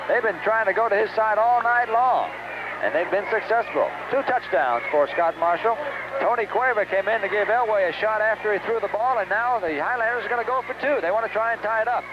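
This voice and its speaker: Male American play-by-play announcer, high voice, live football radio call in clipped phrases, dull old broadcast sound. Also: lively intonation, fairly quick. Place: outdoors at a stadium. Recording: dull, noisy 1970s radio recording.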